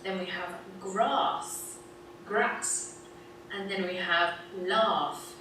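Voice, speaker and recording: A woman's voice: fairly low pitch, extremely slow, recorded from a distance. A woman with a standard southern British accent hosting a pronunciation lesson video, explaining with cheerful laughs. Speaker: English teacher, video host. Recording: echoey video played in a room, heard from afar with noise.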